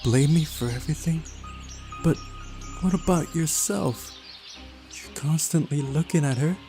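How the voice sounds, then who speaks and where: A man voicing a guarded, resentful young woman who is quick to anger, in a visual novel reading. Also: on the high side, dark tone, soft background music, voice pushed up. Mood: accusing.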